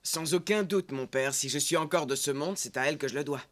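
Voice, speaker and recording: A man's voice: a little high, a little fast, fairly bright tone, lightly acted. A man playing a comic, anxious, self-concerned husband in a French cartoon dub, fussy and protesting. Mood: wary.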